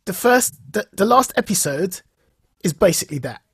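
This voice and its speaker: A man talks as a podcast co-host in a dry, close sound. His voice has a husky grain. He reviews casually, like a storyteller among friends, repeating phrases to drive them home. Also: high-pitched, expressive, normal speed, no room sound. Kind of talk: casual chat recounting and reviewing a show.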